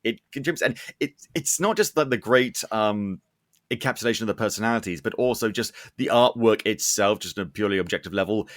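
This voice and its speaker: A man's voice: fairly low pitch, fast talker, a bit bright, no room sound, studio quality. A man hosting a podcast, talking in a casual, enthusiastic and rambling way about what he likes. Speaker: podcast host and reviewer. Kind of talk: casual enthusiastic interview chat.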